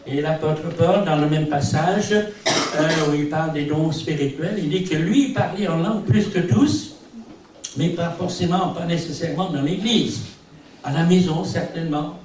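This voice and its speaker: An older man whose first language is English, preaching in a rambling style full of little asides and gentle self-mockery. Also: fairly high pitch, measured pace, far from the mic. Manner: rambling, peppered with "eh bien" and "hein". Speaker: visiting preacher. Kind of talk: sermon. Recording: very echoey hall from afar, faint hiss.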